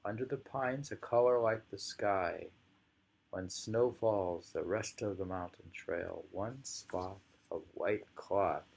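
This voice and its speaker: A man reading poems slowly and commenting on them casually, in an unhurried, musing way with drawn-out phrases and pauses. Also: sits low, creaky, a little mellow, slightly rough, low-quality recording. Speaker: poetry reader and commentator.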